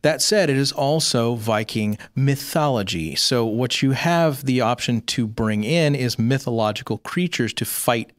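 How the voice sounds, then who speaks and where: A man's voice: medium pitch, unhurried, hardly any pauses, dry recording, clean studio sound. A man co-hosting a podcast, chatting casually about games. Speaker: podcast co-host.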